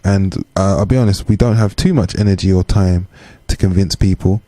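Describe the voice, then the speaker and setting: Low, mellow British man in interview. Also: fairly even intonation, fairly quick, dry recording. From UK, born to Caribbean parents. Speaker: guest, community organisation chair. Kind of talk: conversational radio interview.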